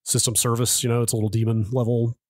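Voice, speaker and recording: A man's voice: mid-range voice, little pitch movement, fast talker, darkish tone, no room sound, studio quality. A man co-hosting a casual tech podcast, wry and rambling, who also reads listener emails aloud. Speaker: podcast co-host.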